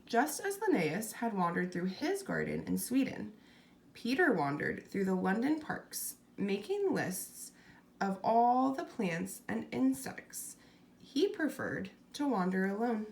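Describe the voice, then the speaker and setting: A woman reads a storybook aloud as its narrator. Her pitch moves in lively swoops, and her voice often drops into a creaky fry. The delivery is scripted and expressive. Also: slow.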